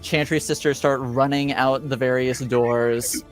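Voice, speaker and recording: A man of medium pitch narrates as game master in a tabletop game. His phrasing is halting and improvised as he describes scenes and asks for rolls. Music plays behind his voice. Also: fairly even intonation.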